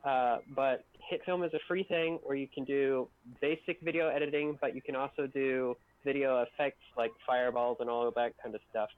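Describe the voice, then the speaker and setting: A man's voice: mid-range voice, fairly even intonation, moderate pace, few pauses, clean tone. A man chatting informally on a livestream, explaining things and giving his opinions. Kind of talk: casual livestream chat. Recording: thin, phone-like voice call sound.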